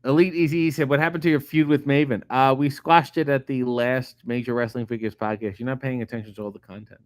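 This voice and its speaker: Middle-aged guy riffing on viewer comments, swears a lot, deadpan, dry close sound. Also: mid-range voice, fast, dry recording. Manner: profane, sardonic, deadpan asides. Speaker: comedian host reading viewer comments. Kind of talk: casual chat answering live chat questions.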